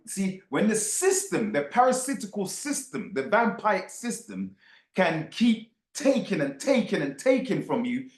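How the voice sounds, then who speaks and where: A man giving impassioned off-the-cuff commentary to his followers, emphatic, repeating phrases and throwing rhetorical questions at listeners. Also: medium pitch. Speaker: book club host. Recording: roomy, echoey sound.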